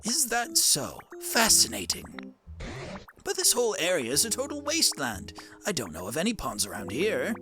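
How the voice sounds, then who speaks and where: A man's voice: fairly high pitch, huge pitch swings, moderate pace, pitched below the performer's own voice. A man voicing an elderly, scholarly plant-creature professor in a game, curious and kindly, with a quaint, theatrical, musing way of talking. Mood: wistful, hopeful. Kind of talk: cartoon game character dub. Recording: music behind the voice.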